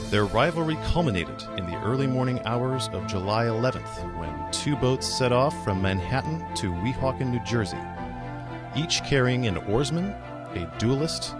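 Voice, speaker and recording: A man giving steady, grave documentary-style narration. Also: medium pitch. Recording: voice-over over loud music.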